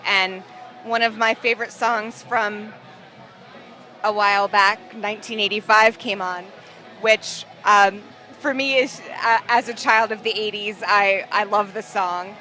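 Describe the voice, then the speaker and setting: A woman's voice: mid-range voice, fairly even intonation, a little slow, sharp tone, faint music behind. A woman interviewing a musician at a festival, asking questions in a friendly, chatty way.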